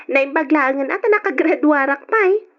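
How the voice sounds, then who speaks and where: High-pitched, eager young graduate. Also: female voice, animated, moderate pace. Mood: cheerful, eager. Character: cheerful, chatty younger female relative, fresh graduate. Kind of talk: old Ilocano radio drama acting. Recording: dull lo-fi old radio recording.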